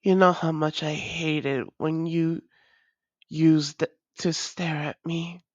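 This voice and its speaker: A woman plays a wry female vampire with a low voice, devoted to a long-lost love. She speaks slowly and formally, in an intimate roleplay style. The sound is close, dry and slightly dull. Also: steady tone, no echo. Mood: exasperated. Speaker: audio roleplay voice actor. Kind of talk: intimate audio roleplay acting.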